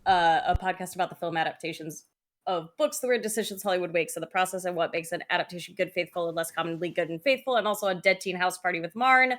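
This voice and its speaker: A woman's voice: on the low side, quick pace, fairly bright tone. A woman, a podcast host, casually introducing herself and plugging shows. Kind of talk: casual self-introduction and plugs.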